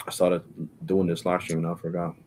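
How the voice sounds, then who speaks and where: Man, forties, live stream host, very low voice, slangy rambling chat. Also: monotone, brisk, darkish tone, a little hiss or hum. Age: 40s. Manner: slangy, rambling, calls listeners bro.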